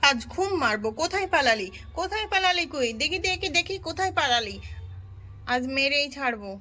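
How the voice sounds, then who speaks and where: A woman's voice: high voice, very animated, brisk, bright, forceful tone, heavily acted. A woman voicing an angry mother who scolds and threatens her naughty daughter. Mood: scolding.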